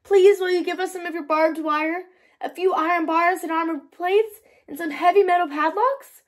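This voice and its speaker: A woman's voice: very high-pitched, normal speed, voice pushed up. A woman voicing cuddly young wolf cubs in a children's storybook, with an emphatic, childlike sing-song manner. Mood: eager, polite. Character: three cuddly little wolf cubs, young, polite, defiant. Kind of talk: children's storybook read-aloud.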